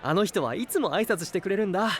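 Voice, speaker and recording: A man's voice: sits high, lively intonation, fast talker. A man playing an earnest, trusting young knight hero in an anime-style voice drama, speaking with friendly sincerity.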